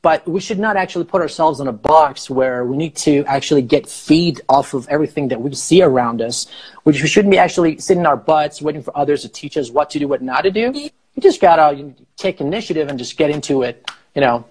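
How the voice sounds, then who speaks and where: A man in his thirties, a native Farsi speaker and English teacher, explaining slowly on a live video call through an earphone mic in a small room. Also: fairly high pitch, animated, a little mellow, slightly rough. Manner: explains slowly, rephrasing with many fillers. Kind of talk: casual live video chat. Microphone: earphone headset mic on a video call.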